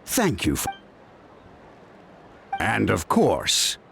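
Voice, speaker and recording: Exasperated relative, wildly animated delivery. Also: male voice, on the low side, drawn-out words, vocal fry, slight background noise, full character voice. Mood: musing, exasperated. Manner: scolding, expressive, chatty. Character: exasperated, affectionate grown man, fretful family elder. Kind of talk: video game voice acting. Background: game music.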